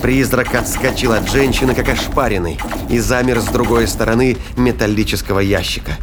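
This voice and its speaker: A man's voice: mid-range voice, a little fast, very noisy. A man narrating a science fiction audio drama in a scripted, dramatic storytelling style. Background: prominent music bed.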